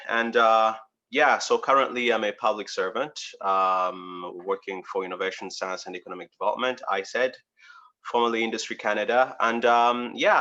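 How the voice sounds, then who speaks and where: Bright-toned man introducing himself. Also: fairly low pitch. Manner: grateful, hesitant fillers. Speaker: panelist. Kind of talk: self-introduction. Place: video call. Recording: dull lo-fi call audio.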